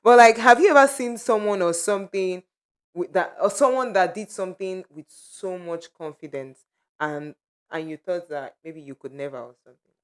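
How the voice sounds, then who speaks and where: A woman's voice: lowish voice, smooth. Easygoing woman in podcast chat. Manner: chatty and easygoing, quick to laugh. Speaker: podcast co-host. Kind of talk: casual chat among friends.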